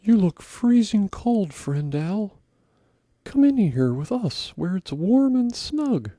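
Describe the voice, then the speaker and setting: Man doing a high, friendly squirrel. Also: very animated, takes it slowly, mellow, no room sound, studio quality, exaggerated voice. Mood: welcoming. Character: friendly brown squirrel offering warm shelter.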